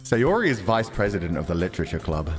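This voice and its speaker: A man's voice: sits low, sing-song, quick pace, big-room sound, faint music behind, voice pushed down. A man reading as a reluctant, cynical teenage schoolboy who narrates an anime visual novel. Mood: dry, resigned.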